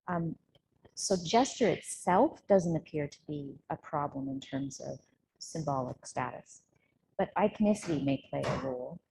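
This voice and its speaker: A woman's voice: low voice, warm tone, a little hiss or hum, rough recording. A woman giving an academic conference presentation at a workshop, explaining with informal asides. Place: live workshop room, relayed to video call.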